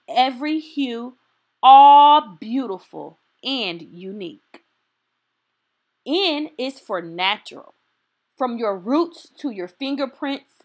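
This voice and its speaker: A woman reading a children's picture book aloud as a storytime host, in an expressive, deliberate and encouraging way. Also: fairly high pitch.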